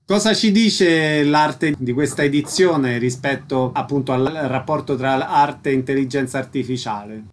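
A man's voice: mid-range voice, measured pace, few pauses, a little hiss or hum. A man working as a radio interviewer, asking concise questions and making formal announcements.

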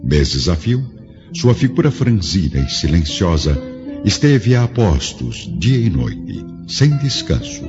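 Very deep Brazilian male narrator. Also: sing-song, slow. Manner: solemn, literary. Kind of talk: dramatic scripted narration. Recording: dull lo-fi audio over faint music.

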